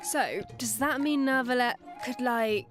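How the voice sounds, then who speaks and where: Higher-pitched female game presenter. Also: measured pace, faint music behind. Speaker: co-presenter of a game preview program. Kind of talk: scripted presentation with banter.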